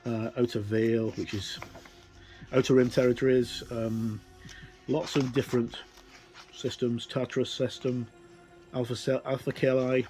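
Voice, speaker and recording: A middle-aged or older man giving a casual, unscripted look through a book as a tabletop game reviewer, in a rambling, hesitant way with many pauses. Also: mid-range voice, dark tone, low-quality recording. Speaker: reviewer and presenter of tabletop games. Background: ambient music with wind sounds.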